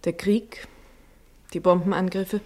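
Schüchterne, sanfte Krankenschwester, hauchige, warme Stimme. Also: female voice, lowish voice, steady tone, unhurried. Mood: gentle, hesitant. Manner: soft, hesitant, often hushed. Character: shy young hospital nurse, gentle and hesitant. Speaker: nurse. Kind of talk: 1950s radio play acting.

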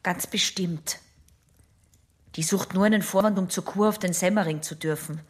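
Radio drama casting: stubborn, troubled teenage girl patient, low-pitched, halting, confiding delivery. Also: husky. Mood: defiant. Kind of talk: intimate German radio drama acting.

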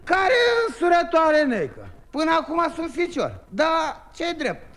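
A boastful young peasant trickster, high, swooping delivery, old radio play. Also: male voice, faint music behind, exaggerated voice. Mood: wistful. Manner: lively, boastful, chuckling rhymed telling. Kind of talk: 1950s radio drama, rhymed storytelling.

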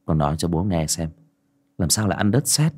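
A man's voice: low-pitched, expressive, a little fast, a little mellow. A man voicing a stern father who asks his child a serious question, in a storybook reading.

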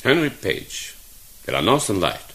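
Commanding, animated newspaper director. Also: male voice, medium pitch, slight background noise, rough recording. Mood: brisk, businesslike. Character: determined newspaper director under financial pressure. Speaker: actor. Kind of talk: radio drama acting.

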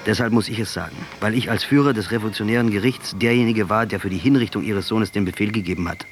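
An actor playing a proud, hardened revolutionary commander, sardonic and deliberate, in a radio play. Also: male voice, fairly low pitch, slight background noise, lightly acted. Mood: grave, stern. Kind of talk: German radio drama dialogue.

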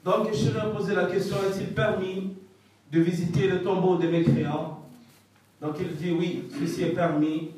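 A man's voice: high-pitched, flat delivery, normal speed, a little mellow, heavy reverb. Hesitant man teaching from far off. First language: not French. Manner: hesitant, searches for French words. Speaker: religious teacher. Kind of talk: religious lesson, relaying a scholar's answers.

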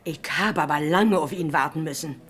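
A woman's voice: sits low, brisk, loud music behind the voice, lightly acted. An actress playing a garrulous, nosy local woman in a radio crime play, colloquial with a Berlin accent and repeating herself in bursts. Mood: chatty, self-important. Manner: colloquial, garrulous, stammering repetitions when excited. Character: chatty, nosy working-class older local woman, crime witness.

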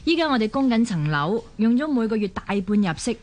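A woman's voice: a little high, big pitch swings, brisk, darkish tone. An actress playing a tired, overworked working mother with a Hong Kong Cantonese accent, sighing and complaining as in radio drama. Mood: stressed, earnest.

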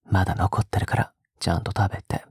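A woman playing a doting, possessive captor in an intimate audio drama, coaxing and cooing softly with a breathy voice that often drops toward a whisper. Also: rumbling low, steady tone, fast, no room sound, studio quality, heavily acted. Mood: coaxing, sweetly controlling. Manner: coaxing, teasing, cooing as if to a child.

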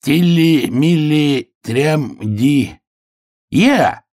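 A man voicing an excitable, naive young hare in a storybook tale, blurting and exclaiming in easy astonishment. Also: a little high, extremely slow, no echo, studio quality, pitched above the performer's own voice, exaggerated voice. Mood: halting, puzzled, then delighted.

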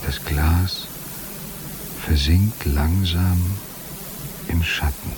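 An actor voicing an entranced man in a radio play monologue, halting, hushed and poetic, as if in a trance. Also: low voice, fairly even intonation. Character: entranced man watching time stand nearly still. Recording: clear hissing noise behind voice.

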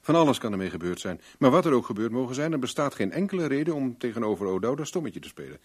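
An actor playing a shrewd, self-assured private investigator in a Dutch radio play, probing in a blunt, wry, plain-spoken way. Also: male voice, mid-range voice, sing-song, quick pace, breathy edge. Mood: knowing, pressing.

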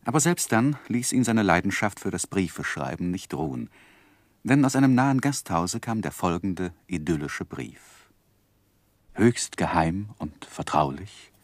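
An actor playing a composed, considerate gentleman who narrates his life story in measured literary style for a 1960s radio play. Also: low-pitched, lively intonation, dry recording, full character voice. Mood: composed, reflective.

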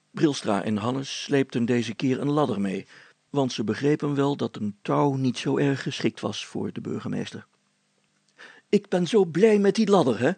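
A man narrating a children's story as an expressive storyteller. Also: medium pitch, gravelly, breathy edge, no echo.

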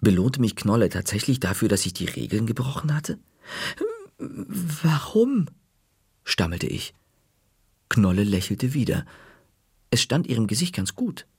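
A man narrating a children's story in a lively, expressive storybook reading with shifting pitch. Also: moderate pace, slightly rough, dry recording, studio quality.

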